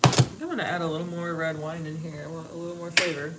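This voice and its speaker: A woman cooking in a home kitchen alongside a stream host, easygoing and quick to apologize. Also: low voice, little pitch movement, very noisy. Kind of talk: casual cooking chat. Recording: distant from the mic over loud frying. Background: loud sizzling and frying.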